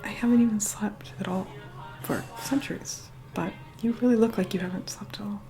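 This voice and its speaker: A woman plays an immortal vampire woman in an ASMR role-play, speaking mostly in soft whispers. She is haughty and impatient, giving curt, condescending orders as a reluctant helper. Loud music plays behind her voice. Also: mid-range voice, steady tone, fairly quick, close to an everyday voice, low-quality recording. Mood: grudging, critical.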